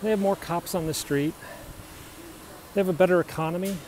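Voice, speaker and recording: A man's voice: high-pitched, fairly even intonation, a little fast, a little mellow. Chatty middle-aged man walking outdoors. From United States. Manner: chatty, answers viewer questions as he walks. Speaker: livestreamer. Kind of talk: casual live-stream chat while hiking. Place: outdoors on a hilltop walk. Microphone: phone held while walking. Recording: noisy outdoor phone recording.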